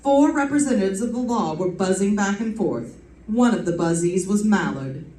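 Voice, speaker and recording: A woman playing a brisk, bossy, unflappable female private detective in an old-time radio drama, with wry hardboiled narration and commanding dialogue. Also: medium pitch, lively intonation, mellow, lightly acted. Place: live stage performance, recorded from a distance. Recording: roomy, distant stage pickup with faint noise.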